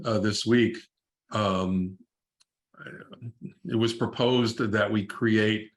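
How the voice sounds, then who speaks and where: An older man speaking informally and haltingly on a group video call, giving remembrances and club announcements. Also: lowish voice, fairly bright tone. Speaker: club member presenting a tribute.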